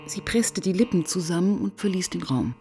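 An actress playing a sharp-tongued, impatient police inspector who narrates a radio crime drama in a brusque, sardonic manner. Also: female voice, lowish voice, animated, moderate pace, soft background music. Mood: narrating, sober. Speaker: narrator and lead character.

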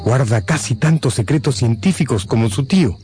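A man's voice: mid-range voice, expressive, moderate pace, a little mellow, faint music behind. A man narrating a scripted radio drama as a dramatic storyteller.

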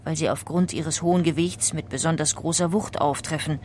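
A woman reading scripted documentary narration in a measured, factual way. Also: sits low, steady tone, darkish tone, a little hiss or hum.

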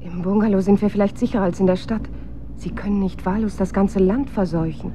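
Actress as mellow-voiced, uneasy woman. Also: mid-range voice, fairly even intonation, brisk, a little hiss or hum, lightly acted. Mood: shaken. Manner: uneasy, searching. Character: anxious, questioning woman colleague of a scientist. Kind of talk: German radio drama acting.

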